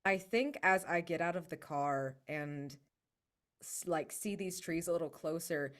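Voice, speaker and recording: A woman's voice: lowish voice, unhurried, fairly bright tone. A woman playing a tabletop game, casually improvising descriptions and searching for her words as she goes.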